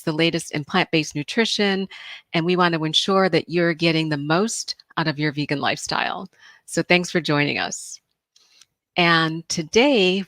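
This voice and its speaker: A woman's voice: on the low side, a bit bright, no echo. A woman hosting a live stream podcast, welcoming listeners and introducing her guest in an upbeat way. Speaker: podcast host.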